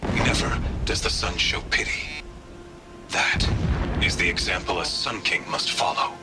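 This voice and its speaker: A stern, pitiless zealot priest declaims in a low voice. He is pompous, formal and bitter, a video game monologue over loud music. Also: animated, a little slow. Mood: preaching.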